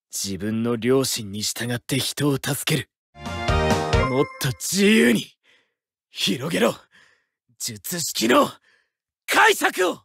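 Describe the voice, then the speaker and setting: A man's voice: medium pitch, gravelly, exaggerated voice. An actor playing a cool, principled teenage boy sorcerer in a Japanese anime game, intense and declarative. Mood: fierce. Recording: loud game music behind. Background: loud music.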